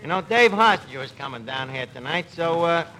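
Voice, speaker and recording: An actor playing a cocky, gullible New York tavern manager in a radio comedy, with a New York accent and mangled pompous phrasing, on an old broadcast recording. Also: male voice, fairly high pitch, lively intonation, brisk, pushed and bright, a little hiss or hum, poor audio. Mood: earnest, defensive. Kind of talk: 1940s radio comedy acting.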